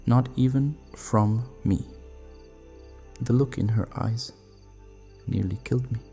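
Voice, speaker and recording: An actor playing a guilt-ridden, grieving vampire in an audio roleplay, speaking in a halting, sorrowful, confessional way. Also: male voice, mid-range voice, mellow, faint music behind. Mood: anguished guilt. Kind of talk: dramatic audio roleplay acting.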